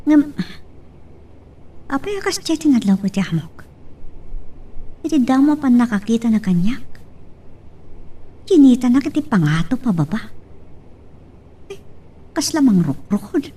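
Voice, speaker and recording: A woman plays an eager Ilocano mother working overseas as a maid, exclaiming and musing in a high, swooping voice. It is expressive audio drama, recorded a little away from the microphone. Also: a little slow, pauses often, breathy edge, full character voice. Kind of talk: amateur Ilocano radio-style drama acting. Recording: distant, off-mic home recording.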